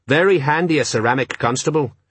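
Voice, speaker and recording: A man voicing a proud, chatty, deferential police sergeant with an excitable, colloquial manner. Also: on the high side, a little fast, breathy edge, no room sound, voice pushed up. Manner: helpful, excitable, matey, colloquial.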